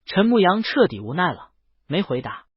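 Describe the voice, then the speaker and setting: A man with a fairly high, husky voice narrates a romance audiobook. His pitch moves around in a lively way as he tells the story. The recording is dry, close and slightly dull. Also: normal speed, no room sound. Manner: reads character dialogue within the narration. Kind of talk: scripted romance novel narration.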